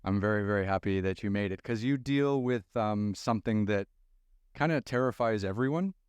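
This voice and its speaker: A man's voice: fairly low pitch, a little fast, a little mellow, smooth, dry recording. A man hosting a podcast interview in a studio, talking in a loose, casual way and swearing freely. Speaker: podcast host. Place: recording studio. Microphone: close studio mic.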